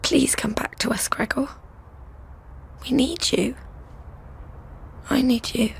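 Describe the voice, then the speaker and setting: An actress playing a tender, anxious daughter in a radio drama, pleading and often slipping into hesitant whispers. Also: female voice, high-pitched, slow pace, creaky, exaggerated voice.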